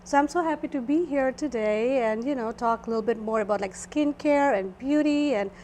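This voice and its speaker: A woman's voice: on the high side, brisk, darkish tone, a little hiss or hum. A woman in her twenties from Nepal with a Nepali accent, chatting as a guest esthetician and filling her talk with "like" and "you know". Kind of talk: casual interview chat.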